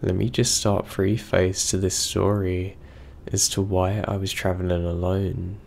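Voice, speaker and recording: A man's voice: sits low, vocal fry, slight background noise. A man reading a first-person horror story aloud, sounding unwell, with his voice a bit off. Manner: slow, steady storytelling delivery. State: unwell, voice affected.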